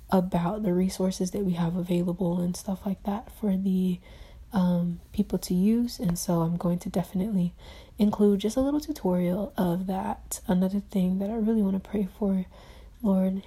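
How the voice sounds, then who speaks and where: A woman speaking in a soft, hushed half-whisper, earnestly rambling through a personal video diary and spoken prayer. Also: mid-range voice, husky. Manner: earnest, rambling, with many fillers. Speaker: video diarist.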